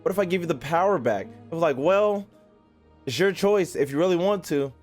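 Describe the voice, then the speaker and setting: A man's voice: fairly high pitch, lively intonation, brisk, soft background music. A man giving casual, slangy livestream commentary as he reacts to a game. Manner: slangy, conversational.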